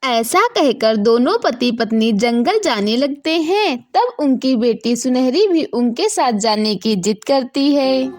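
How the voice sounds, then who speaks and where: A woman's voice: a little high, animated, measured pace, hardly any pauses, darkish tone. A woman narrating a scripted children's story.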